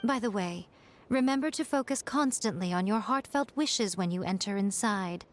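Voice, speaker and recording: A woman voicing a sly, elegant fox-spirit shrine familiar in a video game, poised and lofty with deliberate, teasing phrasing. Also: medium pitch, expressive, a little hiss or hum, lightly acted. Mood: composed, instructing. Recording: game music underneath. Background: game music.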